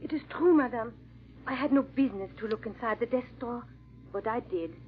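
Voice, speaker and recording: A woman's voice: a little high, fairly quick, soft background music, lightly acted. A woman playing a loyal, timid housemaid in a 1940s radio drama, deferential and hesitant toward her mistress. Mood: earnest, nervous. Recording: dull, muffled old radio recording.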